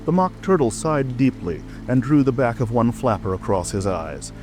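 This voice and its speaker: A man's voice: mid-range voice, animated, normal speed, darkish tone. A man narrating a storybook audiobook in a scripted reading style. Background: rain ambience and music.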